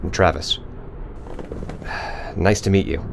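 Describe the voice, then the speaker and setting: A bitter, foul-mouthed crewman talks in a very deep voice with dry, cynical self-mockery. It is sci-fi audio drama acting with faint ambience behind. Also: takes it slowly, vocal fry, slight background noise, heavily acted. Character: bitter, scarred, foul-mouthed man on a spaceship.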